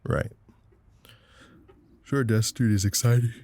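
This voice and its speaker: A man's voice: mid-range voice, steady tone, unhurried, a little mellow. A man acting in an audio drama as a wry, pointed former comrade, intimate and resentful. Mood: wry, low-key.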